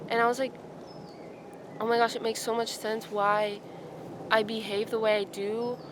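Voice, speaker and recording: A woman's voice: fairly high pitch, level tone, moderate pace. A woman in her twenties talking through her thoughts in a casual outdoor vlog, musing and full of "like". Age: 20s. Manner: musing, says "like" a lot. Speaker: vlogger. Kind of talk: reflective casual vlog talk. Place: outdoors in a forest. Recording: outdoor noise behind her.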